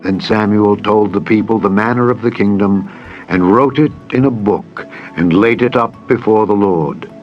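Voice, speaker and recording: Deep-voiced man solemnly narrating scripture. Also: normal speed. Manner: solemn, declamatory. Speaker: narrator of an audio Bible. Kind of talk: dramatized scripture reading, formal scripted narration. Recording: dull band-limited audio with music. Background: background music.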